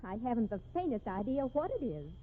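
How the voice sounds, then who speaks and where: An actress playing an unflappable, dryly pert private secretary with matter-of-fact retorts in a 1950s radio drama. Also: female voice, a little high, sing-song, fast. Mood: dry, unruffled. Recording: tinny, hissy 1950s radio recording.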